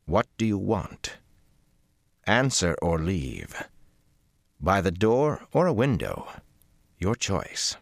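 Man doing a volatile young man, cold and clipped, swinging loud and high, dry voice. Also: very animated, slow pace, creaky, long pauses, no echo, heavily acted. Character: young male channeler, volatile, barely contained fury.

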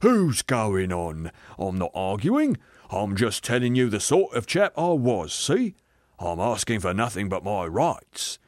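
A man's voice: lowish voice, wildly swooping pitch, no room sound, clean studio sound. Man playing a blustering, aggrieved working-class ghost. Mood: indignant, self-justifying. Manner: blustering, sulky, argumentative, drawn-out emphasis. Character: aggrieved, bullying, self-righteous working-class male ghost. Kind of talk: dramatised audiobook reading.

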